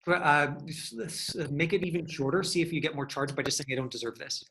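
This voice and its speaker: Bright, higher-pitched male acting coach. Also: brisk. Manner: encouraging, instructive. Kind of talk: hands-on acting lesson.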